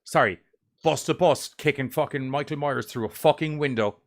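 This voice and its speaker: A man's voice: mid-range voice, normal speed. Rambling, profane male podcast co-host. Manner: rambling, profane, animated storytelling. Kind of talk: casual group chat.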